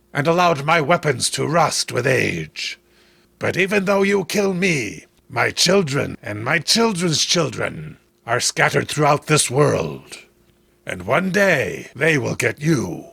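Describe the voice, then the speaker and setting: Man acting an ancient, gravelly, solemn recluse. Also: medium pitch, big pitch swings, measured pace, bright, forceful tone, no echo, exaggerated voice. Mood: solemn, defiant. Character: ancient righteous alien lord disguised as old swamp recluse.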